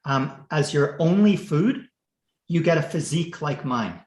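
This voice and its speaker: A man's voice: fairly high pitch, expressive, a little slow, roomy sound, slight background noise. A man from Canada hosting a puzzle session on a video call, joking and calling on people. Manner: jokey, leading introductions. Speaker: host of a math puzzle session. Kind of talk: casual video call chat.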